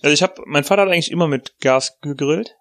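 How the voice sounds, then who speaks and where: A man's voice: fairly high pitch, quick pace, a bit bright, dry recording. Dry, teasing man on a close mic. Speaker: podcast host. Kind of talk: casual banter.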